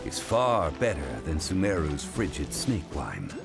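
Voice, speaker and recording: A man playing a composed, refined, worldly gentleman in a video game, speaking in a formal, eloquent, measured way. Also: very deep voice, very animated, vocal fry, exaggerated voice. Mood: calm, reflective. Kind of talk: video game character voice acting. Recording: prominent music behind the voice.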